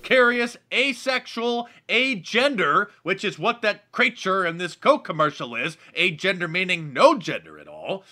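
A man's voice: high voice, very animated, a little slow, few pauses, pushed and bright. A man delivering scripted, opinionated commentary on the news in an indignant, emphatic way. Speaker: online video commentator.

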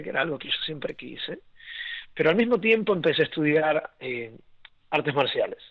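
Young Argentine-accented male guest, loose and rambling, a touch high-pitched, dry muffled audio. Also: dry recording. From Argentina and Venezuela. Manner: rambling, many restarts and fillers, laughs often. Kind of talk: casual interview storytelling.

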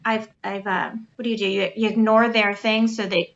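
A woman with a high, sweet voice, co-hosting a casual chat and earnestly encouraging her listeners. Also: level tone, moderate pace, creaky, muffled recording.

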